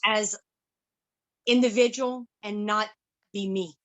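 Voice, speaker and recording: A woman sharing a reflective thought slowly in a group discussion on a video call. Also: mid-range voice, steady tone. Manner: reflective, slow.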